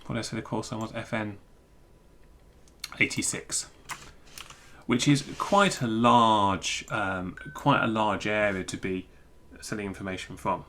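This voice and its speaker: A man giving an informal technical screencast walkthrough, thinking aloud in a halting, self-interrupting way. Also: fairly low pitch, steady tone, fairly bright tone, a little hiss or hum. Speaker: presenter explaining technical material.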